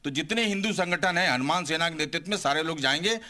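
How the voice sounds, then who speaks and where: Male activist, slightly high, sharp forceful voice, combative statement to press. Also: quick pace. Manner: combative, insistent. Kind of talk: spoken interview statement.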